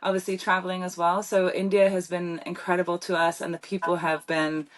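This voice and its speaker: A woman's voice: lowish voice, flat delivery, fairly quick. A young woman with a British accent, chatting as a guest in an interview with many fillers and restarts. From Germany. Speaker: guest, professional pickleball player. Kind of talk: casual interview chat.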